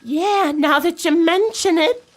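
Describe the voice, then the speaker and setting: A woman's voice: very high voice, measured pace, dry recording. A woman playing a worried, earnest streetwalker in a radio drama, plain-spoken as she talks. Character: worried female streetwalker reporting her friend missing.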